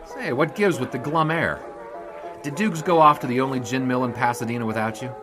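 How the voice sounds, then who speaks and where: A man's voice: medium pitch, brisk, over music, natural voice. A man playing a dry, wisecracking 1920s newspaper sports writer in an audio drama, in both dialogue and narration. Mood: wry.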